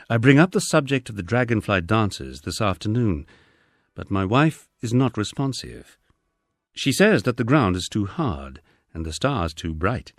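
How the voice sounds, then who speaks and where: A man narrating a first-person memoir as an audiobook, reading in a measured, expressive storytelling manner. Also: low-pitched, no echo, studio quality.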